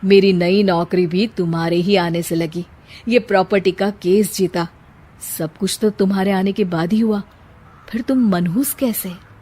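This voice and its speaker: A woman's voice: medium pitch, steady tone, unhurried, dark tone, natural voice. A woman voicing an earnest young man in love in a cartoon story, speaking in a reassuring, reasoning way.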